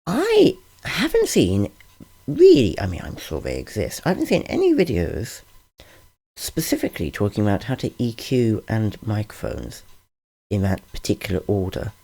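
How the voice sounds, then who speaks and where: A man's voice: lowish voice, huge pitch swings, moderate pace, vocal fry, dark tone, husky. A man giving a casual, rambling tutorial over a screen recording, often correcting himself with a wry tone, recorded on a studio condenser mic. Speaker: channel host explaining audio gear. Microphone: large-diaphragm studio condenser.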